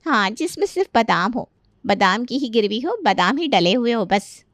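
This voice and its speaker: A woman acts a pampered grown daughter in a storybook family drama. She is teasing and demanding, with a high voice in a dry recording. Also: lively intonation, moderate pace, a bit bright, dry recording. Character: pampered teasing married daughter visiting home.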